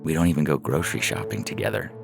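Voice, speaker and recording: A man reading a first-person short story as a narrator, in a measured, deliberate way, from a script. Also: rumbling low, very animated, creaky. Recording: music bed under the voice. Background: synthesizer music.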